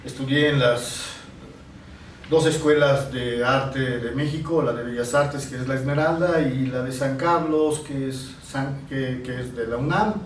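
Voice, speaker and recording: A man's voice: mid-range voice, fairly even intonation. A man in his sixties from Italy talks through his memories in a reflective way, often stopping to search for the next word. He sits some distance from the mic in a room with noticeable reverb. A faint hum of ambience stays under his voice. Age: 60s. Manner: reflective, pausing to search for words. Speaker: painter being interviewed. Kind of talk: reminiscing in an interview.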